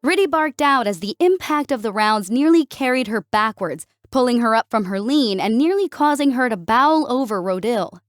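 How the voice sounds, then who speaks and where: A woman's voice: fairly high pitch, expressive, moderate pace, hardly any pauses, no echo, clean studio sound. A woman reading scripted narration of a military science fiction story as an audiobook narrator.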